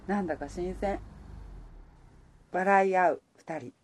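A woman's voice: on the low side, slow. A woman playing a romantic, easily flustered working woman, in radio drama dialogue and first-person narration. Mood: warm, reflective.

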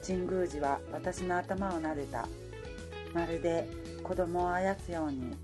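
A woman's voice: fairly low pitch, a little slow, over music, natural voice. A woman playing a romantic, easily flustered working woman, in radio drama dialogue and first-person narration. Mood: subdued.

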